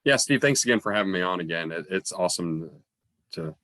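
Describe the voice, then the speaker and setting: Low voiced guy w a head cold, casual guest chat, close dry sound. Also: lively intonation, brisk, pushed and bright, no room sound. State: stuffed up with a cold. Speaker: guest co-reviewer. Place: room at home, on a video call.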